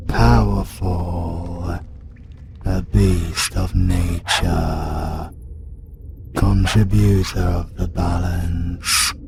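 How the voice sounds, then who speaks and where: A man voicing an ancient, menacing world-devouring demon in an audio roleplay, British, with a slow, brooding, incantation-like delivery. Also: creaky, full character voice. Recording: loud rumbling noise behind.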